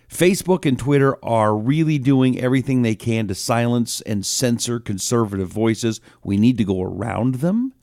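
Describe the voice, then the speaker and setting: A man's voice: mid-range voice, creaky, dark tone, no room sound, clean studio sound. A man hosting with a casual, informal spoken introduction and promotion, appealing directly to listeners. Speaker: podcast host.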